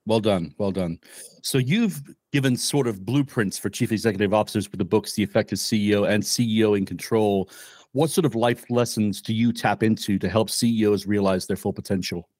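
A man's voice: on the low side, fairly quick, a little mellow, no room sound. Man, podcast host, upbeat announcer manner, dry close sound. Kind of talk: scripted intro and interview questions.

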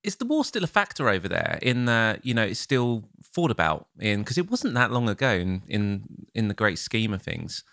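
A man's voice: on the low side, wildly swooping pitch, moderate pace, vocal fry, few pauses, a bit bright, no echo, clean studio sound. A man co-hosting a casual interview, asking curious, musing questions.

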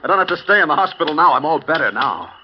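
A man's voice: a little high, sing-song, rapid-fire. An actor playing a deranged escaped male mental patient, panicked and lashing out, in 1950s radio drama acting. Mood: frantic. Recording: lo-fi old radio broadcast with hiss.

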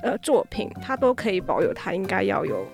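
Lively, brisk-talking Taiwanese woman. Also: mid-range voice, gravelly, soft background music. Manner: rambling, circles back and repeats phrases. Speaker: podcast guest, audio drama app founder. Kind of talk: casual interview chat.

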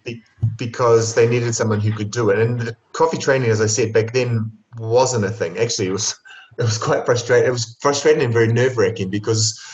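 A man's voice: mid-range voice, fairly quick. New Zealand man on an echoey, muffled line. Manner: relaxed storytelling with frequent ums. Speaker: coffee consultant and trainer. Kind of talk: podcast interview guest.

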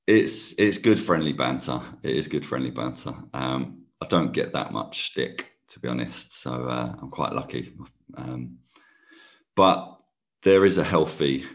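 A man from Kent, a podcast guest, talking in a relaxed, enthusiastic way with a slightly croaky voice. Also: a bass voice, a little slow. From Kent, England. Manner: relaxed, enthusiastic, laughs easily. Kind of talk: casual interview chat.

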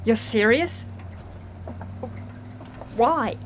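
A woman's voice: on the high side, animated, drawn-out words, a little hiss or hum. A woman playing an incredulous, blunt and questioning agency operative in an audio drama.

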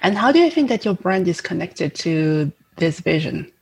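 A woman's voice: fairly low pitch, big pitch swings, normal speed, breathy edge. A woman hosting an interview, reading a scripted introduction of her guest and then asking questions. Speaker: interview host.

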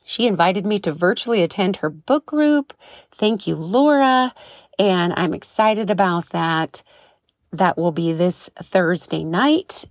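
A woman's voice: lowish voice, no echo, studio quality. A middle-aged woman hosting a podcast from home, chatting effusively and restarting her sentences often. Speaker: podcast host. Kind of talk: casual chat and interviewing.

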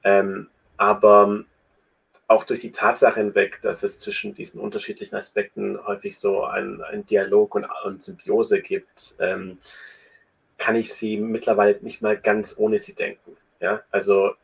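Deep raspy guy explaining stuff slowly, lots of ums and restarts. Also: monotone, rough recording. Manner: thoughtful, explains at length with many restarts. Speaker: guest. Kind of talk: conversational interview.

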